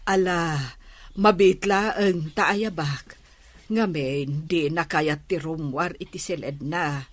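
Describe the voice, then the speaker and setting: An actress playing a patient, worried middle-aged mother in a radio drama, coaxing in a measured way. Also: fairly low pitch. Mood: weary, coaxing. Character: patient, worried mother coaxing her troubled grown daughter.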